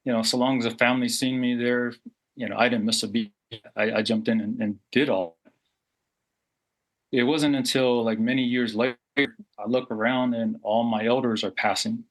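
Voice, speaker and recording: A man's voice: medium pitch, fairly even intonation, moderate pace, poor audio. A man in his forties from Samoa, talking earnestly as an interview guest, reflective, with frequent fillers and restarts. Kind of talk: candid interview talk.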